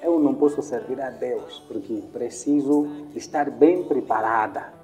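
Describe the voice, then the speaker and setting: Very deep-voiced woman interviewer. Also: measured pace, warm tone, faint music behind. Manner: affirming, with short backchannels. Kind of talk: conversational interview.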